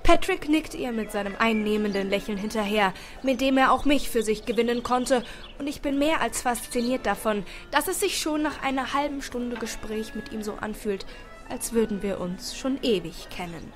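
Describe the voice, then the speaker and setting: A woman reading a first-person story aloud as an expressive audiobook narrator. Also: fairly high pitch, normal speed. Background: background music.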